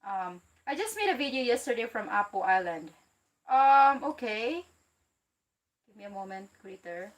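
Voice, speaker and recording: A woman from the Philippines hosting a casual livestream, chatty and excitable, reading out viewer comments and laughing easily. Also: mid-range voice, moderate pace. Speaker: livestream host.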